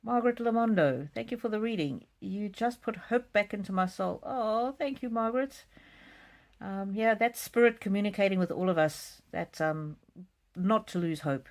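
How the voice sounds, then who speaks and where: A woman hosting a live psychic card reading, chatting casually and reading out comments in a gentle, musing, hesitant way with soft repetitions. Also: mid-range voice, moderate pace.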